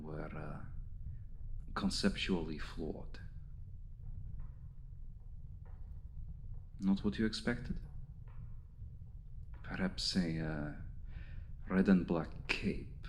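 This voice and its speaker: Male actor as ancient vampire lord, very low voice, suave, hypnotic menace, hushed ASMR roleplay delivery, faint background noise. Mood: amused, softly menacing. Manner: deliberate, suave, condescending, hypnotic, often dropping to a breathy murmur. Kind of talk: ASMR roleplay, intimate dramatic monologue.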